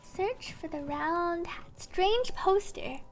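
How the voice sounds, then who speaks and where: A woman's voice: very high-pitched, animated, slow pace. A woman streaming a game, chatting playfully and reading on-screen text aloud in a storytelling way over game music. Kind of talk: live gameplay commentary, reading subtitles and narration aloud. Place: indoor stream setup with game music behind.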